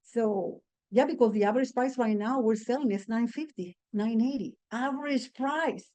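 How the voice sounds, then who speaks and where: A woman real estate agent on a panel, talking in a lively, animated way and laughing through her stories. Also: a little high, normal speed. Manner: lively and animated, laughs while telling anecdotes. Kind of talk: panel discussion.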